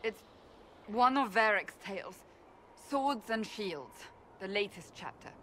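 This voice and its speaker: An actress playing a proud, gruff warrior woman in a fantasy video game, clipped, defensive and emphatic. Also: medium pitch, lively intonation, takes it slowly, sharp tone. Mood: flustered, defensive. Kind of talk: cinematic game dialogue acting. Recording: faint background noise.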